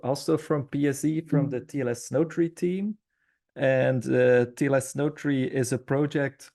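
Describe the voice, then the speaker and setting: Mellow, creaky-voiced man from Belgium. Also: medium pitch. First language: Dutch. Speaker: project team member presenting. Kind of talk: informal project introduction.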